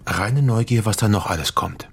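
An actor playing a slick middle-aged politician in a radio crime drama, speaking in a measured, sardonic, patronising way. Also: male voice, fairly low pitch, creaky, slightly rough. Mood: dry, irritated.

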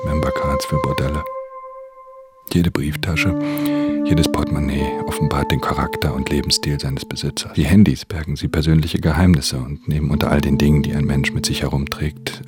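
Brooding, very deep-voiced pickpocket. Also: male voice. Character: brooding solitary male pickpocket recounting his crimes. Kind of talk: literary radio drama narration. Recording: studio radio drama with prominent music.

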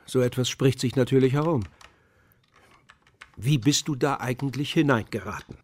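A man's voice: mid-range voice, moderate pace, lightly acted. An actor playing a formal, solicitous middle-aged male academic superior in a radio crime drama. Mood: concerned.

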